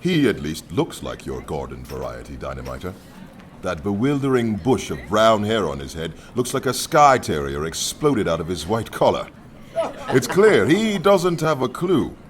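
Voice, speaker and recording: Overbearing mocking boss, wildly swooping delivery. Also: male voice, on the low side, very animated, moderate pace, slight background noise. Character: huge, overbearing anarchist president, jovially mocking and menacing. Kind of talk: radio play acting.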